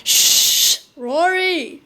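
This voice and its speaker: Brief: woman playing an excitable young boy football fan in a kids' storybook. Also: very high-pitched, very slow, voice pushed up, heavily acted. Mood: eager. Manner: pleading and cheering.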